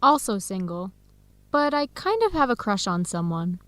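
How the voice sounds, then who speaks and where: A woman's voice: mid-range voice, very animated, moderate pace, fairly bright tone, no echo. An actress playing a friendly, curious, earnest young woman researcher in an educational radio drama, chatty and inquisitive. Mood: chatty, confiding.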